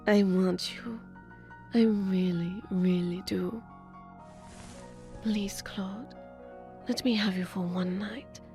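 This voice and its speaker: A woman playing a gentle, earnest and hesitant young lady in a romantic audio drama. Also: medium pitch, steady tone, takes it slowly, long pauses, faint music behind. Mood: longing, pleading. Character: sweet, shy, earnest young woman, a seamstress.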